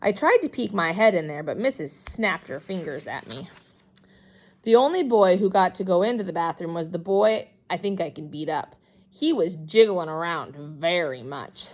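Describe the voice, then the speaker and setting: A woman giving an expressive read-aloud of a children's chapter book in an animated manner. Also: lowish voice, moderate pace. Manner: animated, acts out the dialogue. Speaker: storybook reader.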